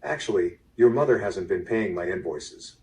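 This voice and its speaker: A man's voice: deep voice, expressive, normal speed, darkish tone. An actor playing a plain-spoken, tactlessly friendly construction foreman in a mystery audio drama, talking casually and directly. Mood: blunt. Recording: distant, played through a small speaker.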